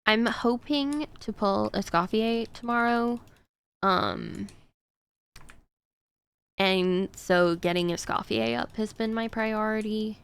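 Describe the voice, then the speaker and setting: A woman's voice: medium pitch, slow pace, gravelly, pauses often, clear, resonant tone. A woman streaming a game, chatting casually to her viewers in a rambling way with frequent fillers. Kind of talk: casual chat while gaming.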